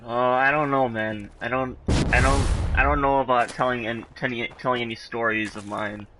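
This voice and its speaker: A man's voice: mid-range voice, steady tone. A man chatting casually on a game livestream, rambling and often breaking off as he goes. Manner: halting, rambling storyteller who often breaks off. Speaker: game streamer. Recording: home stream mic with clear background noise.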